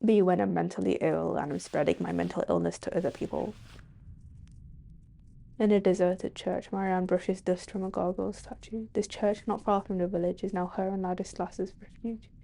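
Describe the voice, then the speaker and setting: A woman's voice: fairly low pitch, level tone, moderate pace, vocal fry, warm tone. A woman streaming a game, reading its narration aloud in a storytelling way and dropping into offhand casual reactions. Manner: switches between archaic scripted narration and offhand reactions. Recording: faint game music behind. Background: game soundtrack.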